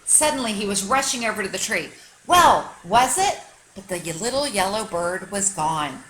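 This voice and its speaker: A woman's voice: medium pitch, expressive, brisk, a bit bright, a little hiss or hum, rough recording. A woman teacher reading a children's story aloud expressively, with an emphatic, teacherly delivery aimed at young children. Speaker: kindergarten teacher.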